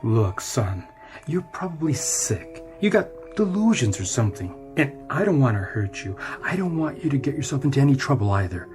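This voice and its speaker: A male actor plays a stern, guarded middle-aged father in a radio drama. He speaks plainly and firmly at a medium pitch, with animated swings. Music runs underneath. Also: normal speed, lightly acted. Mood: stern warning. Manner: guarded, firm, plain-spoken.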